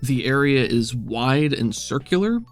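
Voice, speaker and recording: A man runs a tabletop game as its narrator. His voice sits at a middle pitch, and he describes things in measured, evocative phrases. Faint music plays behind him. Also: animated. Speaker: game master.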